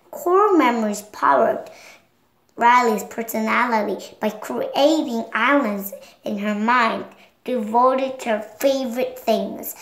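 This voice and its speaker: A seven-year-old girl reading a storybook aloud in a careful, halting way. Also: fairly high pitch, reverberant. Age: child, 7 years old. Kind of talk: read-along storybook narration.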